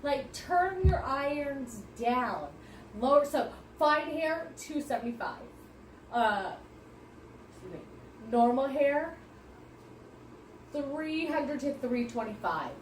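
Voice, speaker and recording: A woman's voice: sits high, animated, slow, long pauses, recorded from a distance, a little hiss or hum. Confident woman explaining in an echoey room. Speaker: podcast co-host, hairstylist. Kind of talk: casual chat.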